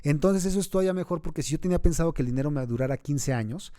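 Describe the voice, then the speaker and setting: Dark-voiced Mexican man in his thirties. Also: fairly high pitch, rapid-fire, no echo. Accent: Mexican Spanish. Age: 30s. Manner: earnest, advising, builds to emphatic points. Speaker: financial advisor, host. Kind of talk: explanatory interview talk.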